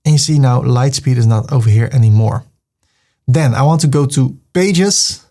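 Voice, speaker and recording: Male tutor, mid pitch, patient click-by-click screen-tutorial narration. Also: normal speed. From Netherlands. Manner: calm, step-by-step, narrates each click. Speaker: web design tutor. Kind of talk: screen-recorded software tutorial.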